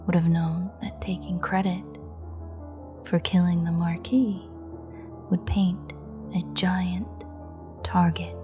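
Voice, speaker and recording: A woman playing a menacing, amused female vampire in an audio roleplay, taunting and sultry with breathy, half-whispered asides. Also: on the low side, dark tone. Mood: taunting, wry. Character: menacing, amused female vampire gloating over a captured hunter.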